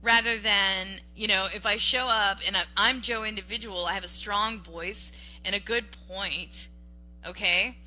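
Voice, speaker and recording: A woman with a very bright, ringing voice argues her point on a podcast. She talks emphatically and animatedly, often restarting mid-sentence and checking in with "okay?". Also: mid-range voice, fairly even intonation, unhurried, rough recording. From Western Washington. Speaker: podcast co-host. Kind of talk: casual political debate.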